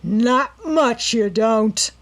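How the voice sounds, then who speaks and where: A woman's voice: medium pitch, darkish tone, lightly acted. A woman reading a storybook and voicing a brutal male robber gang leader who growls and sneers. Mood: snarling. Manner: growling, sneering, slow menacing.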